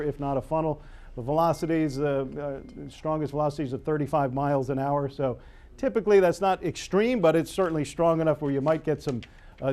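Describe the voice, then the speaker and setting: A man's voice: fairly high pitch, dark tone. An American man working as a TV weather forecaster, ad-libbing live storm coverage in an explanatory way. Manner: explanatory, unscripted.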